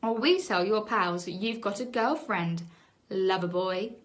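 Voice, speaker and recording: A woman's voice: medium pitch, unhurried, natural voice. A woman voicing a bold, gleeful child hero who trains a dog, in a storybook reading. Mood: gleefully taunting. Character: triumphant young child narrator-hero, cheeky dog trainer.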